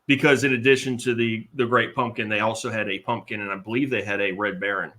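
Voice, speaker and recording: A man chatting casually on a fan panel in a halting manner. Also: lowish voice, steady tone, bright, forceful tone. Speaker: panel guest.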